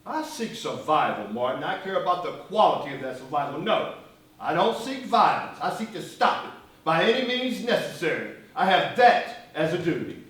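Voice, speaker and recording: Distant, echoey stage play: fiery militant activist played by a male actor, high voice, declamatory and mocking. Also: animated, normal speed, a bit bright. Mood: stern, challenging. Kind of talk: theatrical one-act stage play acting. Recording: echoey stage recording from far off.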